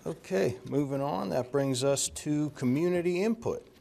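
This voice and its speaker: Brisk man chairing a meeting. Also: fairly high pitch, gravelly, warm tone. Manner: brisk, procedural.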